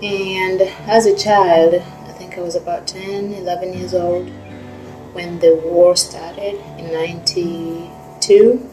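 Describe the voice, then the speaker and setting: Low, flat-voiced woman telling her story. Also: slow pace. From Rwanda. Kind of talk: personal testimony. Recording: roomy and distant, music underneath.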